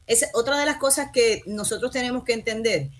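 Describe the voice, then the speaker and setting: A woman's voice: on the high side, brisk. A woman who leads a sports federation, speaking passionately and emphatically on a panel. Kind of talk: panel discussion.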